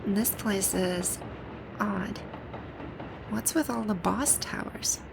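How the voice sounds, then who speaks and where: A woman's voice: mid-range voice, slow, vocal fry, background noise. A woman acting a haughty, bewildered video-game villainess in an ASMR roleplay, with pompous, commanding, old-fashioned phrasing. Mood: wary, curious.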